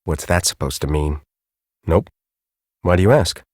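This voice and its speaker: A man's voice: sits low, a little slow, darkish tone, no room sound, studio quality. An actor playing a bitter, arrogant middle-aged male scientist in a video game, with a sardonic, condescending, theatrical way of talking. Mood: weary, cynical. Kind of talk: video game character acting.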